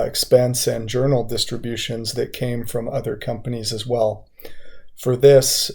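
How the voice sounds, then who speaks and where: A man working as a software trainer, explaining an on-screen walkthrough methodically, step by step. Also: medium pitch. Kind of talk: instructional screen-share walkthrough.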